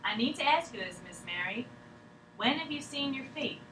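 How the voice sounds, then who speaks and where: A woman's voice: high-pitched, animated, moderate pace. A woman acting a patient, deferential official who keeps questioning a sick patient in a drama table read. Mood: gently pressing. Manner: polite, deferential, insistent. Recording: echoey room, distant mic, noisy background.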